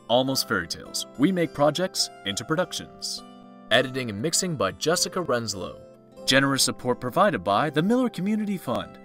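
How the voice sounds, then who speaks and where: A man's voice: medium pitch, pushed and bright. An American man announcing in a showy, old-time radio style, reading a scripted sign-off and credits. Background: plucked guitar music.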